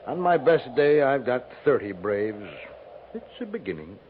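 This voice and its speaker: Frontier Jesuit: American, gentle, steadfast, lively inflection, hissy old radio sound. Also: male voice, medium pitch, a little slow. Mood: calm, assured. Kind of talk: 1950s radio drama acting. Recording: tinny, noisy 1950s radio recording.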